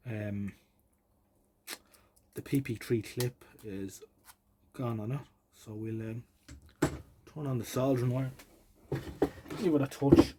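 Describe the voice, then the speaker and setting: An Irish man giving casual, unhurried hands-on commentary, muttering and thinking aloud as he tinkers, recorded on a phone. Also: medium pitch, a little mellow. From Ireland. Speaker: vintage electronics hobbyist presenting. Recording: clearly noisy phone recording.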